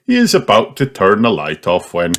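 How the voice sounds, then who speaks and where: A man reading a children's story aloud in an animated, expressive storytelling manner. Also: lowish voice, normal speed, a little hiss or hum. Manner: animated, puts on character voices. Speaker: audiobook reader. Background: music.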